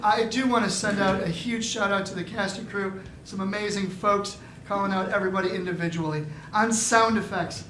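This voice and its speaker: A man's voice: high voice, fairly even intonation, a little fast, hardly any pauses. A man giving a casual, upbeat curtain-call announcement to a theatre audience. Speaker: member of theatre company giving curtain speech. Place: small live theatre with audience. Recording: roomy hall, loud audience noise.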